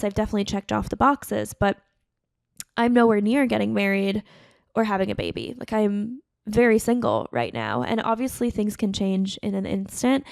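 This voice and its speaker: Podcast host, woman, late 20s, slight vocal fry, close and dry sound, earnest solo chat. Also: mid-range voice, darkish tone, no echo. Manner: chatty, earnest, heavy on fillers. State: tearful, emotional. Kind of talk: casual solo podcast monologue.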